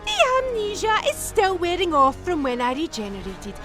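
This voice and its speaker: A woman's voice: very high-pitched, huge pitch swings, moderate pace, sharp tone, soft background music, exaggerated voice. A woman playing a gleefully unhinged, vengeful Time Lord villainess, theatrical and flippant with turns of menace, in a scripted fan audio drama monologue. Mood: unhinged, scornful.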